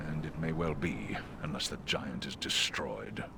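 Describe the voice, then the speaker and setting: Gravelly, deep, noble giant eagle. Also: male voice, unhurried, background noise, heavily acted. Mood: grave warning. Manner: stately, formal, declamatory. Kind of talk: fantasy game cutscene acting.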